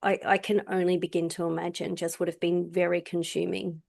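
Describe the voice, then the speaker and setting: A woman hosting a podcast interview speaks supportively, affirming her guest often and using soft filler words as she reflects on what she hears. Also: on the low side, little pitch movement, a little fast, creaky. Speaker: podcast host. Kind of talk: empathetic interview conversation.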